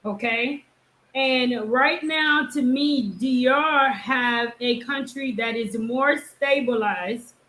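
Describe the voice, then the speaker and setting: A woman's voice: high voice, slow, fairly bright tone, reverberant, poor audio. A woman hosting a casual live interview, asking her guest pointed questions. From Haitian, born in the United States. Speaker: host.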